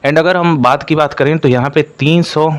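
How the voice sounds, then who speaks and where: A man's voice: on the high side, normal speed. A man speaks as an exam-coaching presenter, explaining an update to candidates and leaning on repeated stock phrases.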